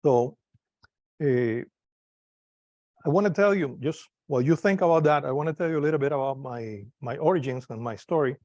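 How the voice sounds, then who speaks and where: A man's voice: on the high side, moderate pace, darkish tone, no room sound, highs cut off. A man from Cuba giving an informal talk to a club audience, hesitant, with many fillers and restarts. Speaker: club presenter. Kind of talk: informal presentation to a live audience.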